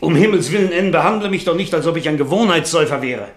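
An actor in a German radio play, playing a weary, irritable middle-aged writer with a sardonic, self-pitying manner. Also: male voice, on the high side, animated, quick pace, natural voice. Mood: exasperated, agitated.

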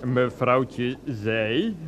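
A man's voice: medium pitch, lively intonation, drawn-out words. An actor playing a pushy older male flower seller who talks about himself in the third person, in a radio comedy drama. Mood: wheedling. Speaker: florist.

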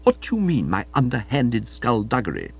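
A man's voice: medium pitch, huge pitch swings, normal speed, vocal fry, breathy edge, dry recording, lo-fi sound, heavily acted. Man putting on haughty, snappish young woman. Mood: stiff. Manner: stiff, indignant, snappish. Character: haughty, rattled modern young woman, sharply imperious. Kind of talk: comic audiobook character acting.

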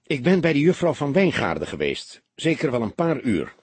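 A male narrator plays an earnest young man in a crime audiobook, explaining himself deferentially and a little hesitantly. The voice is raspy and lively in pitch, recorded dry. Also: normal speed, dry recording. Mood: earnest, reporting. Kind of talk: audiobook character dialogue.

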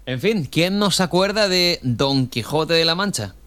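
A man's voice: a little high, lively intonation, unhurried, pushed and bright, clear, resonant tone. A man with an accent from Spain hosting a radio show, chatting casually with frequent tag questions and sometimes reading scripted narration. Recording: music under the voice. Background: music bed.

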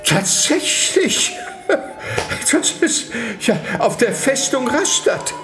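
High-pitched, flustered archbishop. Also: male voice, sing-song, heavily acted. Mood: flustered, obsequious. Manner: halting, stammering, obsequious. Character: unctuous, flustered 19th-century German archbishop hosting a nobleman. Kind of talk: German radio play acting. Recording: roomy echo, music behind.

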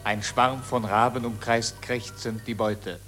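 A man's voice: mid-range voice, flat delivery, unhurried, fairly bright tone, natural voice. A man acting as the grave narrator of a radio drama, reading scripted scene directions. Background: music.

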